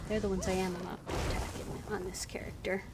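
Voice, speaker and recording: A woman's voice: mid-range voice, level tone, fast talker, heavy background noise. A woman streaming a fantasy role-playing game, thinking aloud in short remarks as she plays. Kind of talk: gaming commentary with in-character reading aloud.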